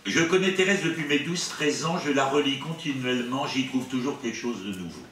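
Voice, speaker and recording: Priest speaking in a very echoey room. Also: male voice, medium pitch, fairly quick, fairly bright tone. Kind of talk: spiritual conference, commenting and reading out quotations. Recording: echoey room, distant mic, faint noise.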